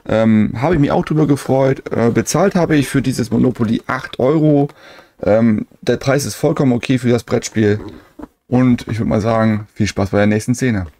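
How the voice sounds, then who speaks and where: Mellow-voiced man narrating a collector vlog. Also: mid-range voice, normal speed. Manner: chatty, hesitant, full of "äh" and "ähm" fillers. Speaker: retro game collector vlogger.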